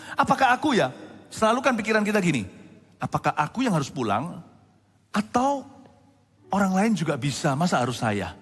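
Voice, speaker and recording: Male pastor, 40s, high-pitched, lively storytelling sermon, reverberant hall. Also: slightly rough. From Indonesia. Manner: animated storytelling, conversational asides to the congregation.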